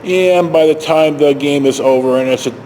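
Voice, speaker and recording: An older, retired man telling stories casually to camera in a halting, rambling, self-correcting way. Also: fairly high pitch, dark tone, a little hiss or hum. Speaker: video storyteller.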